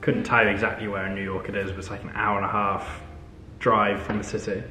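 A man's voice: on the low side, little pitch movement, quick pace, bright, forceful tone. A young adult man chatting casually in a dry, understated way as he shows people around. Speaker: vlogger's companion giving a house tour. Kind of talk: casual chat. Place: indoors, kitchen and large high-ceilinged house. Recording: echoey room with music behind.

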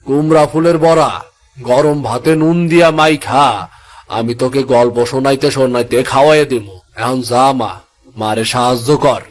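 A man's voice: mid-range voice, moderate pace, close to an everyday voice. A man voicing a poor, worried fisherman father in a cartoon, with a rural Bangla dialect and an earthy, fretful manner. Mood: worried, fatherly. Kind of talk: cartoon voice acting.

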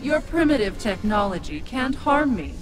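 A woman's voice: fairly high pitch, big pitch swings, normal speed, very noisy. A woman playing an evil, ancient witch in a video game, sinister and mocking. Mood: scornful. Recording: loud game music underneath.

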